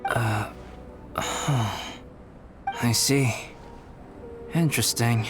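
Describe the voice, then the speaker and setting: Composed, analytical young alchemist. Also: male voice, mid-range voice, music in the background. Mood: pensive. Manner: calm, measured, precise. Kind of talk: video game voice acting.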